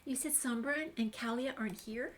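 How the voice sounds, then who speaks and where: Female gamer for casual walkthrough commentary, chatty, higher-pitched voice with slight background noise. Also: normal speed. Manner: chatty, reads game dialogue options aloud. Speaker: gamer narrating a game walkthrough.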